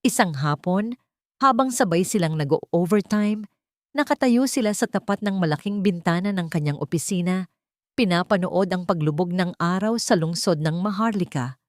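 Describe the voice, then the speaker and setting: A woman from the Philippines narrating a romance audiobook in a gentle, measured storytelling manner. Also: on the low side, dry recording, studio quality.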